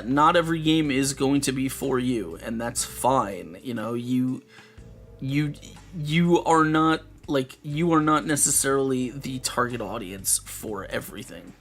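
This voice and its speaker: Rambling male streamer, hoarse voice. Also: medium pitch, a bit bright. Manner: rambling, lots of restarts and fillers, swears casually. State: losing his voice. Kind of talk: casual live-stream chat.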